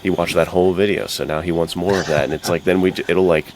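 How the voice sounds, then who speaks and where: Extremely deep-voiced man in earnest chat. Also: fairly even intonation, fast, a little mellow, a little hiss or hum. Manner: earnest, informal. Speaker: podcast co-host. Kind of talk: casual podcast chat.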